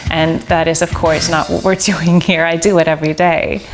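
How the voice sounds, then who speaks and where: A woman, a stunt performer and actress, speaking frankly and lively in a documentary interview. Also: deep voice, normal speed. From Iceland. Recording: music playing under the voice.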